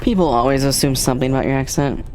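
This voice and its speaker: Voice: mid-range voice, quick pace, a little mellow, soft background music. A streamer hosting a live chat, rambling and restarting sentences in a casual, chatty way. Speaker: streamer, host.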